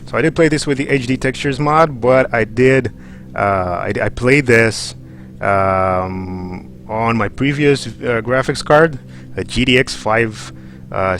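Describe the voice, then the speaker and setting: Casual game streamer, male, mid-pitched, halting delivery, noisy headset sound. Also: a bit bright. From Romania. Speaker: livestream host, gamer. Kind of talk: casual live game commentary. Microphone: headset microphone.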